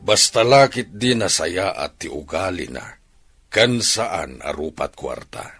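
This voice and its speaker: A man's voice: deep voice, expressive, measured pace, fairly bright tone, soft background music, exaggerated voice. A man acting a stern but fatherly middle-aged rancher with an Ilocano Filipino accent in a radio drama. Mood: questioning, stern.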